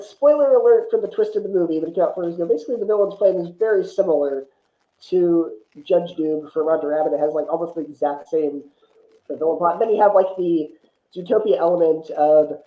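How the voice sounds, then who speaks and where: Chatty man on a muffled, roomy mic. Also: fairly high pitch. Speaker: guest film reviewer on a podcast. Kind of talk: casual film discussion.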